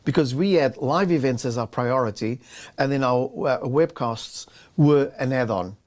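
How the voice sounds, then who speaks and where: A man's voice: medium pitch, moderate pace, darkish tone. A man co-hosting a casual business chat, often restarting and repeating words as he talks. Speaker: co-host.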